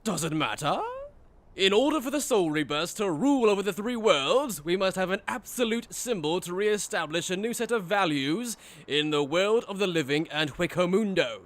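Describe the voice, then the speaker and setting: A man's voice: sits high, lively intonation, moderate pace. A man playing a cruel, mocking aristocratic villain in an anime fan dub, with pompous, condescending, theatrical delivery. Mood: coldly disdainful. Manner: pompous, theatrical, sneering formal speech.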